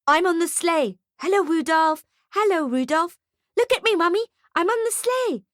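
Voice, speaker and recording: A woman voicing an excited, wide-eyed small boy with eager childlike exclamations in an audiobook story. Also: very high-pitched, moderate pace, no echo, studio quality, voice pushed up. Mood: gleeful.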